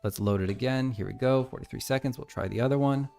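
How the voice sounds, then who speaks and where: A man's voice: medium pitch, quick pace, gravelly, darkish tone, faint music behind. An American man streaming his game development live, thinking aloud and reading lines of code as he types. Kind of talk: casual live coding stream.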